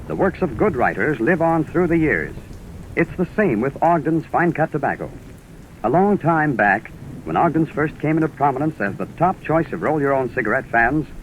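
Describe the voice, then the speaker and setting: A vintage radio recording with faint noise behind a male announcer. His mid-pitched voice delivers scripted copy with formal, polished emphasis in classic old-time radio style. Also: lo-fi sound.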